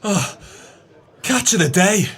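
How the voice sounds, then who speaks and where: A man's voice: high voice, big pitch swings, slow pace, a bit bright, slightly rough, full character voice. An actor playing a wry, businesslike working man with a crooked streak in an audio drama.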